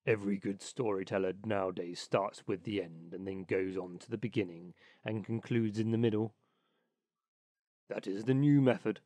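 A man's voice: on the low side, normal speed, dark tone, dry recording, clean studio sound, voice pushed down, close to an everyday voice. A man voicing an opinionated, self-satisfied water rat in a storybook, talking like a pompous know-it-all. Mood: self-important.

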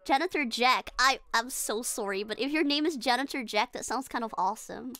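A woman's voice: a little high, a little fast, sharp tone, no echo. A woman streaming a horror game, chatting casually, giggling and talking to her character. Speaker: VTuber streamer. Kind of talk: casual gaming livestream chat.

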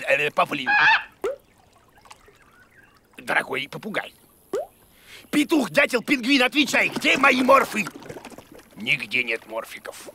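A man's voice: high voice, gravelly, noisy background, heavily acted. A man voicing a grumpy, bumbling bearded creature who teaches children in a cartoon, in a blustery, muttering manner. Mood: blustering.

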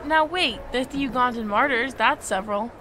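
A woman's voice: on the high side, normal speed, pushed and bright, slight background noise. An actress playing a friendly, inquisitive young girl in an audio drama. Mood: curious. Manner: friendly, curious.

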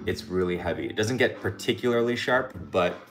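A man's voice: on the low side, a little fast. A man in his thirties hosting a casual cooking livestream from his home kitchen, talking in a loose, rambling way with many fillers. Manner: relaxed, rambling, lots of "like" and "um". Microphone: camera set a few feet away.

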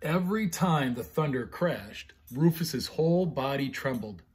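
A man's voice: mid-range voice, animated, unhurried, darkish tone. A middle-aged American man, a pastor chatting casually and reading children's bedtime stories expressively. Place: living room at home, indoors.

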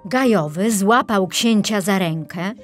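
A woman's voice: medium pitch, measured pace, soft background music. A woman narrator reading a scripted children's fairy tale.

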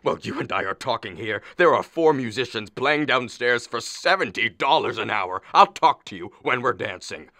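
A man's voice: mid-range voice, animated, moderate pace, hardly any pauses, sharp tone, dry recording, clean studio sound. A man playing an impatient, blustering middle-aged father of the bride in a radio play, demanding and money-minded. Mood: irritated.

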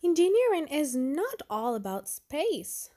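An encouraging storybook principal, read by a woman, instructive and deliberate. She speaks slowly with lively rises and falls in a slightly high voice. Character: encouraging woman school principal giving a tour.

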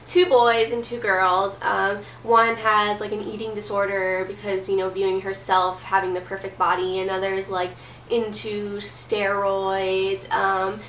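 A woman's voice: medium pitch, a bit bright. A young woman in her twenties with a stuffy cold, chatting casually about books from her bedroom, with lots of "like" and "um". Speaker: book vlogger. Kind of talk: casual chat. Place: bedroom, indoors. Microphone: camera mic at a distance. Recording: roomy, a bit distant, faint hiss.

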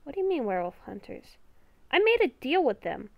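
A woman improvising in character in a game roleplay video, with a halting, exasperated way of talking. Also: sits high, big pitch swings, gravelly, dull audio. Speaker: gaming video creator playing the lead character.

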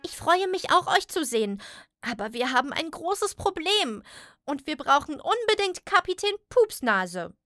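A woman's voice: sits high, big pitch swings, a little slow, sharp tone, no echo, studio quality, soft background music, exaggerated voice. A woman voicing an earnest, friendly little cloud with a childlike, eager manner in a children's audio play. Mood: happy.

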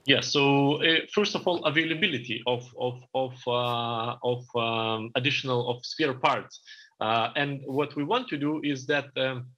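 A man's voice: mid-range voice, steady tone, bright, forceful tone. A man in his thirties answering panel questions from an office over a video call, full of fillers and restarts. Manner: halting, many restarts and fillers. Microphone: video call webcam. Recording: dull lo-fi video call audio.

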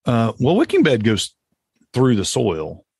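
A man's voice: medium pitch, huge pitch swings, a little slow, gravelly, a little mellow, slightly rough, dry recording, clean studio sound. A man hosting a podcast, chatting casually in a folksy way as he explains things off the cuff. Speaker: podcast host. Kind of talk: casual chat about home gardening.

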